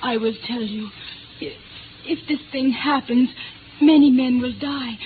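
An actress playing a frightened, dutiful young Chinese daughter, pleading formally and hesitantly in a 1950s radio drama. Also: female voice, high-pitched, a little slow. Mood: anxious pleading. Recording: tinny 1950s radio recording, faint noise.

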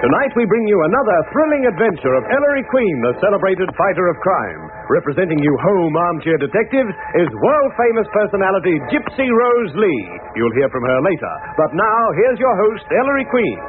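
A man gives a dramatic vintage radio introduction. His voice is high and very animated. Music plays clearly behind him on a thin, phone-like recording. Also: a little fast, hardly any pauses. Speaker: radio announcer. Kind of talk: dramatic 1930s-40s radio show introduction.

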